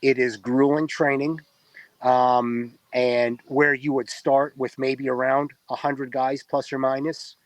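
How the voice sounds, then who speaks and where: A man's voice: mid-range voice, level tone, slow, no echo, poor audio. A man in his forties with an Israeli accent, a tired reserve soldier giving earnest, explanatory interview answers full of ums and uhs. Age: 40s.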